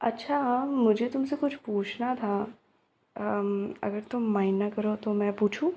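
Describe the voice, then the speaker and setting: A woman's voice: medium pitch, steady tone, measured pace, mellow, slight background noise. A young woman acting out a romance roleplay to a silent listener, teasing and chatty.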